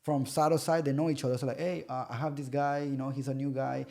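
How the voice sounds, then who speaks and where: A man, a fighter guest on a podcast, telling stories in a loose, animated way and acting out the voices of the people he quotes. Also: mid-range voice, fast, gravelly. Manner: loose, repetitive phrasing with many restarts. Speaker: pro MMA fighter guest. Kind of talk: casual podcast chat.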